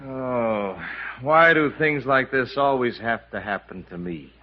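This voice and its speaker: An actor playing a romantic, easygoing innkeeper in love, in a 1950s radio musical. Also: male voice, mid-range voice, measured pace, dull audio, a little hiss or hum, close to an everyday voice. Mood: resigned.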